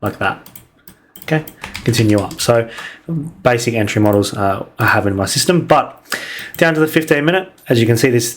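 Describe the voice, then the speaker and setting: A young man losing his voice and sounding croaky, casually walking through a weekly trading outlook with lots of ums. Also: medium pitch. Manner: relaxed, filler-heavy, many ums. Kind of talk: casual market analysis over charts.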